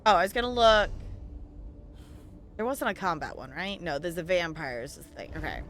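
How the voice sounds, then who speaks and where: A woman's voice: lowish voice, animated, moderate pace, a bit bright, slight background noise. A woman chatting casually on a gaming livestream, playful and self-deprecating, with theatrical exclamations. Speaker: live streamer.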